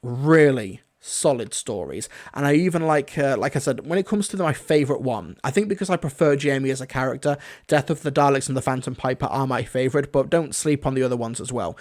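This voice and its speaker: A man's voice: mid-range voice, brisk, a little mellow. A man hosting a casual, off-the-cuff review stream, talking in an enthusiastic, rambling way. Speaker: reviewer, livestream host.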